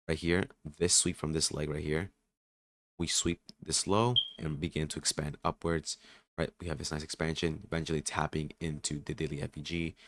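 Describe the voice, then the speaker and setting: A man who is a bit sick and raspy, casually thinking aloud through a trading session with many fillers and restarts. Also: extremely low pitch. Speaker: day trader streaming his session. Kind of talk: casual market commentary.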